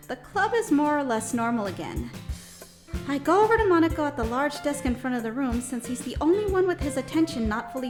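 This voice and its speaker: A woman playing an anxious, earnest young female student who narrates a visual novel audio drama in a dramatic, hesitant way. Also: high-pitched. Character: anxious, exasperated young female student, new club member. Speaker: voice actor narrating and playing the lead. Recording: music behind the voice.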